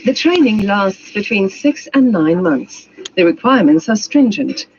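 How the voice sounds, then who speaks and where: A woman's voice: medium pitch, sing-song, unhurried, a little mellow, slight background noise. A woman reading a scripted documentary narration as its narrator. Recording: dull playback with voices talking over.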